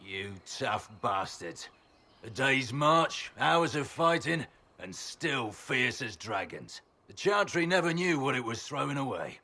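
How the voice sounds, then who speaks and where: An actor playing a bitter, defiant warrior general, a proud and menacing villain in a video game. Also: male voice, medium pitch, big pitch swings, slow pace, pushed and bright, heavily acted. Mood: weary contempt.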